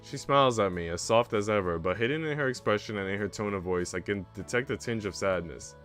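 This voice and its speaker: A man's voice: lowish voice, fast, fairly bright tone, faint music behind. A young man streaming a visual novel read-aloud, narrating with casual, slangy reactions.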